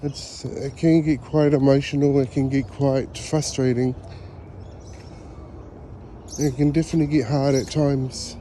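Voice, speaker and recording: Slow, relaxed New man outdoors. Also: mid-range voice, slight background noise. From New Zealand. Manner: relaxed, rambling, with hesitant fillers. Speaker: vlogger. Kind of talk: casual walking tour vlog. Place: outdoors at a school grounds.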